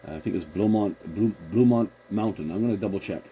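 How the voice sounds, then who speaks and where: A man's voice: lowish voice, fast talker. A man chatting casually as a CB radio operator, agreeable and a little hesitant, with a noisy recording. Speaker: CB radio operator hosting the contact.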